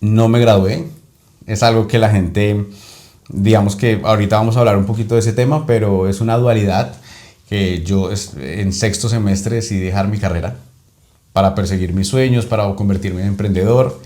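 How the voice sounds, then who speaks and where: A Colombian man in his thirties with a Bogotá accent speaks in a fairly low voice. He talks his way through his story as a podcast guest, stopping often to restart with fillers. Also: fairly even intonation, moderate pace, warm tone. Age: 30s. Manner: hesitant, many fillers and restarts. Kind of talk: casual interview.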